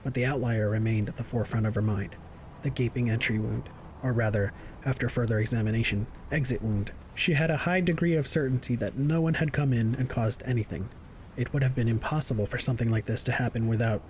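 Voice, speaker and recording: A man's voice: medium pitch, fast, slight background noise, rough recording. A man reading scripted narration for an audio drama.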